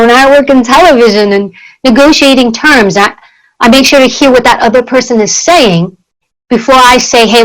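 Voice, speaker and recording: A woman's voice: a little high, poor audio. A woman who grew up in New York speaking Greek at home, talking earnestly as an interview guest and often repeating words while she thinks. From United States. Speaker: guest, former Secret Service agent. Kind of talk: conversational interview.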